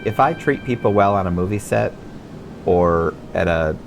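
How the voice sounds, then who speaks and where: A man's voice: low voice, expressive, moderate pace, creaky, soft background music. A middle-aged American man from Michigan reading his own memoir as scripted audiobook narration. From Michigan, United States.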